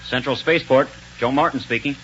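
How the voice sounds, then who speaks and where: A man's voice: medium pitch, quick pace, fairly bright tone, natural voice. An actor playing an obliging, deferential spaceport crewman in 1930s radio drama. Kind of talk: 1930s radio serial acting. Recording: muffled, very noisy 1930s radio recording.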